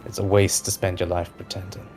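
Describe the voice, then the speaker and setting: A man's voice: low-pitched, flat delivery, a little fast, a little mellow, natural voice. A man playing a laid-back, self-assured mentor who would be king, in a tabletop audio drama, talking with encouraging assurance. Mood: reassuring. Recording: music behind the voice.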